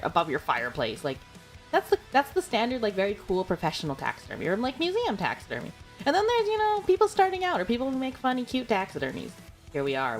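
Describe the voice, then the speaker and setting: A young adult woman streaming, chatting casually and rambling with lots of fillers and giggles. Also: fairly low pitch. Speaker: VTuber streamer. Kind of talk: casual livestream chat.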